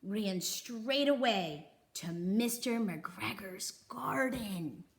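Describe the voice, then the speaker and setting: Slow, animated woman reading a children's story. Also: mid-range voice. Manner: deliberate, with dramatic pauses for young listeners. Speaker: storybook reader. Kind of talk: expressive read-aloud of a children's story.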